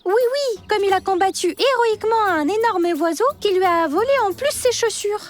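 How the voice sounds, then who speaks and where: A woman's voice: very high voice, moderate pace. A woman voicing a playful, clever child leader in a cartoon, teasing and decisive. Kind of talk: cartoon dub acting. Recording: music under the voice.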